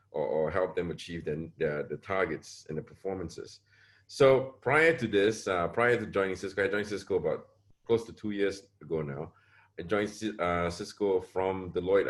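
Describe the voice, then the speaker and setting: A middle-aged man from Singapore, a panelist speaking on a webinar video call, answering at length with frequent hesitations and repeated words. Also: low voice. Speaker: panelist, security advisor.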